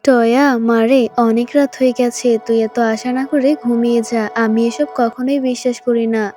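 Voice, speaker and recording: Cartoon mother, woman performer: caring, earnest, motherly, higher-pitched, music clearly behind. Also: steady tone, moderate pace, lightly acted. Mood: gentle, doubting. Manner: gentle motherly endearments, earnest. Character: poor, caring, generous village mother. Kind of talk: children's cartoon voice acting. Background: children's music.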